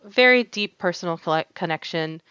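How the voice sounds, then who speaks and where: Smooth-voiced woman telling stories. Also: on the low side, brisk, sharp tone, no room sound, dull audio. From United States. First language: Arabic. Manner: storytelling with many fillers and restarts, laughing often. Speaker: guest, tatreez artist. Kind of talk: casual podcast interview.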